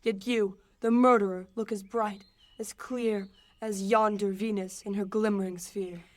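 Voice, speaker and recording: A woman's voice: medium pitch, fairly even intonation, unhurried, lightly acted. A teenage woman playing a lovesick, rebuffed young man, delivering verse earnestly in an amateur Shakespeare radio play. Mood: wounded, pleading. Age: late teens.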